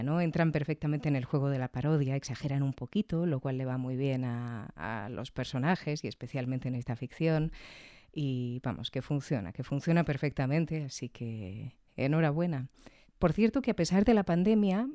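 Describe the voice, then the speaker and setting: A woman podcaster talks through her review in a reflective, conversational way. Her voice is mellow and smooth, recorded close and dry. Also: low voice, no room sound. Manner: reflective, frequent tag questions.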